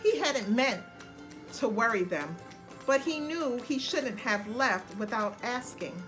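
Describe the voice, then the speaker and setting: Children's storyteller, woman: lively melodic range, measured delivery, gentle music bed. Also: a little high. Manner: expressive, deliberate. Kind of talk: scripted children's bedtime story narration.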